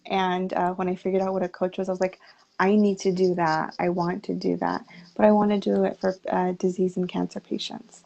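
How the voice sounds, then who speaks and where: A woman's voice: lowish voice, flat delivery, a little fast, gravelly, warm tone, dull audio. A woman from the United States, a health coach, telling her story earnestly and reflectively in an interview over a video call.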